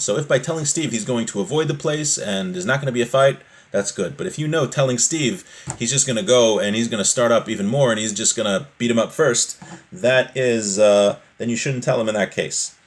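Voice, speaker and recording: A man with a medium-pitched voice teaches an informal class on religious law. He is plain-spoken and explanatory, working through a text in simple everyday terms. He restates his points so they are easy to follow.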